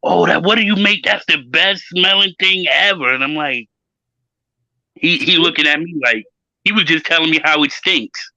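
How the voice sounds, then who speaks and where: Bright-voiced man, emphatic casual talk. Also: fairly high pitch, normal speed, no echo. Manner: emphatic, repeats words when starting sentences. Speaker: chef. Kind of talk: casual opinion chat. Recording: dull, lo-fi audio.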